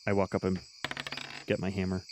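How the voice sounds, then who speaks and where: A woman voicing a gruff, simple male warrior with blunt, solemn declarations and a gravelly, husky voice in a tabletop game. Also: extremely low pitch, monotone, brisk, noisy background. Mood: eager, proud.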